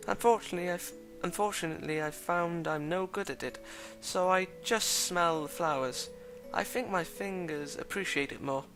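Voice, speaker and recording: A courteous, gentle young woman, as a man reads her aloud from a visual novel, her lines soft and measured. The voice is gravelly and bright, a little higher than his usual talk. Faint music plays underneath. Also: rough recording.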